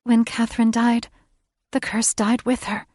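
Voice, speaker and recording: Woman reads a calm young witch, raised a little in pitch, bright and plain. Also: unhurried, no room sound, studio quality. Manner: calm, bright and matter-of-fact.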